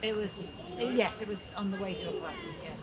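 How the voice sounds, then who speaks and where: A woman's voice: mid-range voice, brisk. A woman chatting casually in short answers, repeating her phrases. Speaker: interviewee. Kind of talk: casual chat. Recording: loud crowd noise around voice.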